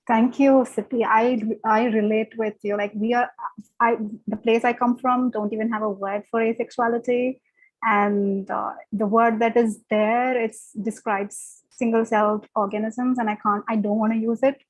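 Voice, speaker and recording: Woman moderator on a video call, kinda high voice, encouraging, says um a lot. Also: little pitch movement, moderate pace, rough recording. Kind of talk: panel discussion.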